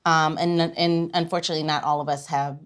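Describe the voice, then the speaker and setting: A woman's voice: sits low, little pitch movement, fairly quick, smooth. Chatty woman hosting a casual podcast. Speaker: podcast host.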